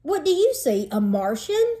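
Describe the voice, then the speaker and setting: A woman's voice: high voice, big pitch swings, measured pace, mellow, voice pushed up. A woman voicing a cocky, mocking fourth-grade boy in a storybook, taunting and emphatic.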